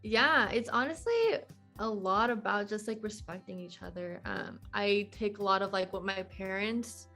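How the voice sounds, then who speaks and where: A woman's voice: mid-range voice, normal speed, pushed and bright, faint music behind. A woman in her twenties talking casually as a podcast guest, in a chatty, informal way peppered with "like". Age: 20s. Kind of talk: casual conversational interview.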